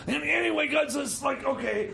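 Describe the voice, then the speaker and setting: A man's voice: very high-pitched, normal speed, gravelly, pushed and bright, a little hiss or hum, exaggerated voice. A man playing a jittery wheeler-dealer jailbird in improvised comedy radio theater, rambling, stammering and repeating himself. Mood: coaxing. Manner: rambling, stammering, muttering repetitions.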